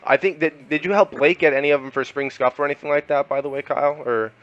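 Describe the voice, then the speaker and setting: A man's voice: a little high, fast, a bit bright, dry recording, muffled recording. A young man hosting a gaming podcast, chatting loosely with many fillers and laughs. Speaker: podcast host. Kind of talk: casual podcast chat.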